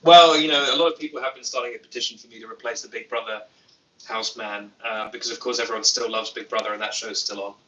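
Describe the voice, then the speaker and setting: A man hosting a casual livestream, reading viewer comments with a deadpan, playful manner and jokey asides. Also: lowish voice, quick pace, recorded from a distance, low-quality recording. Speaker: YouTuber livestream host.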